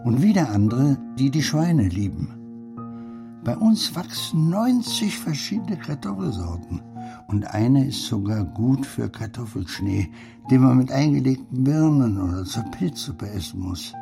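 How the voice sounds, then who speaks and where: A man's voice: mid-range voice, sing-song, unhurried, music in the background. An actor playing a wise, earthy old grandfather in a radio play, musing and instructing. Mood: fond lecturing. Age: older. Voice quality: gravelly, mellow.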